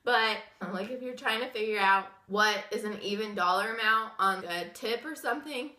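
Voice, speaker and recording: A woman's voice: medium pitch, moderate pace, bright, forceful tone, roomy sound. A young woman with a sick, wheezy voice, chatting and rambling through a casual story time vlog. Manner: chatty, rambling with many fillers. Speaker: vlogger.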